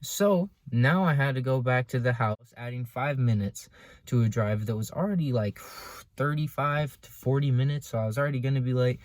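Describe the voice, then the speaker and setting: A man's voice: medium pitch, normal speed, no room sound. A young American man telling a personal story casually, with dramatic emphasis and sarcastic asides. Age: young adult. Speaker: vlogger. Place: inside a car.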